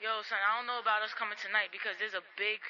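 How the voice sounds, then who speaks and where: Man playing high-pitched, evasive friend on phone. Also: little pitch movement, racing, close to an everyday voice. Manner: casual street slang. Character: evasive young male friend making excuses by phone. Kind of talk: amateur radio drama acting. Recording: dull lo-fi sound, crowd-like din.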